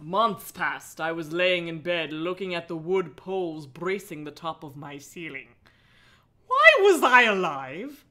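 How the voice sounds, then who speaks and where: A woman livestream host cold-reading aloud with mocking asides, animated, sarcastic and giggly, with casual swearing. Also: on the low side, a little slow.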